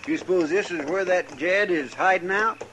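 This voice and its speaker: An actor playing a simple, eager frontier deputy with a rural American Western drawl, deferential and plain-spoken in an old-time radio drama. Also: male voice, sits high, normal speed, fairly bright tone. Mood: curious, deferential. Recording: noisy vintage radio drama with effects.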